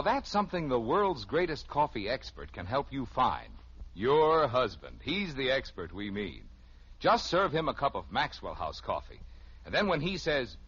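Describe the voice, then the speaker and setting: A man's voice: medium pitch, fairly bright tone, husky. An American man reading a 1950s radio commercial as an announcer. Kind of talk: 1950s radio advertising pitch. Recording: muffled old radio recording.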